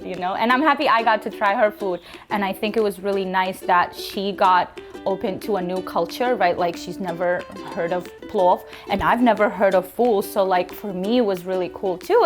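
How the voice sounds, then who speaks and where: Chatty home cook from Turkmenistan, mid-pitched, faint music under her. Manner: chatty, filler-heavy, excited. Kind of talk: casual reaction while cooking.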